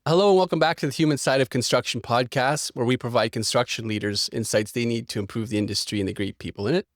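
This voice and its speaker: A man's voice: mid-range voice, fast, dry recording, studio quality, soft background music. A man hosting a podcast, upbeat and conversational as he asks his guest questions. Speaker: podcast host. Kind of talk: podcast interview.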